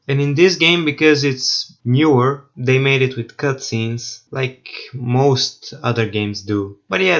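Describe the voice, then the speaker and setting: A man's voice: on the high side, a bit bright, dull audio. A man narrating a scripted video game review in casual, informal, opinionated language.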